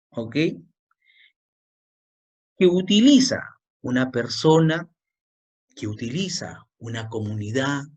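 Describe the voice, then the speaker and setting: A man's voice: a little high, breathy edge. A male teacher giving a language lesson, repeating key points and quizzing the student with questions. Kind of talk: classroom lecture.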